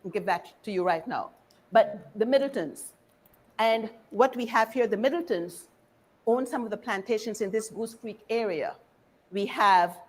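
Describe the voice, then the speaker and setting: A woman from Barbados giving an informal history lecture in a deliberate, engaging way, putting questions to her listeners. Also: fairly high pitch, a little mellow.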